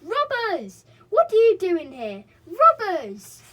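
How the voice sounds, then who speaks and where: A woman's voice: very animated, normal speed. A woman reading aloud and voicing a crowd of greedy male gnomes in a children's storybook. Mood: greedy shouting. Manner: shrill shouting.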